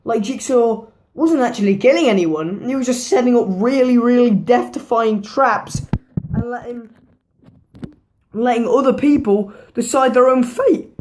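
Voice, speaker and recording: A woman with a hoarse, worn-out voice, giving a casual movie review in a rambling way, swearing freely and repeating herself. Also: a little high, measured pace, a little mellow. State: hoarse, losing her voice.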